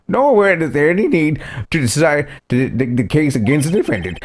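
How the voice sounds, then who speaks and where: A man's voice: medium pitch, a little hiss or hum. A man voicing a hesitant, stern elderly courtroom judge for a video game, stammering in formal phrases.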